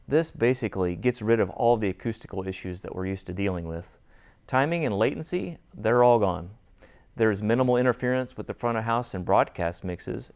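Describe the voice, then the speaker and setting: Male guest expert, fairly low pitch, rehearsed explainer delivery for a promotional interview. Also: darkish tone. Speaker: guest expert, audio and acoustics designer.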